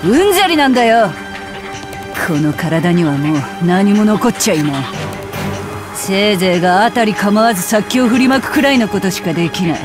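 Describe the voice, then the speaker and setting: An actress voicing a brash, bitter rocker witch in a video game, with sardonic, rough-spoken, taunting delivery. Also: mid-range voice, animated, normal speed, lightly acted. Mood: weary, bitter. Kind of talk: Japanese video game voice acting. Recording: loud music under the voice. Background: loud music.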